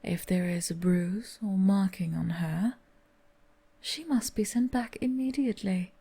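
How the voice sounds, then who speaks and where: A woman acting in an audio roleplay as a cold, commanding mistress, often whispering with a breathy voice. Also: medium pitch, measured pace, mellow. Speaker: channel host acting a cold manor mistress.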